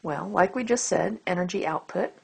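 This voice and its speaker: A woman giving an explanatory lecture as a teacher, phrasing deliberately and stressing key words. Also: fairly low pitch, vocal fry, slightly rough. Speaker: astronomy lecturer. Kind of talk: explanatory lecture over slides.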